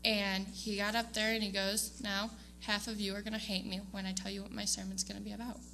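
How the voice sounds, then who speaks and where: A woman's voice: medium pitch, level tone, fairly quick, creaky, sharp tone, slight background noise, low-quality recording. A young woman giving a casual, hesitant speech to her church congregation, with frequent ums and small laughs. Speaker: student giving award speech. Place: church sanctuary.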